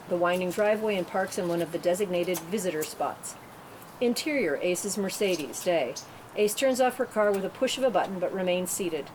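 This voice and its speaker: A woman narrating screenplay directions at a table read. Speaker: narrator reading stage directions. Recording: clear background rumble and room noise.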